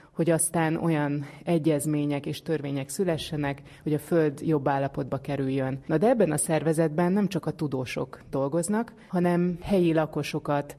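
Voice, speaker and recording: A woman's voice: low voice, warm tone. A woman hosting a radio science programme, describing and asking questions in an interviewer's manner.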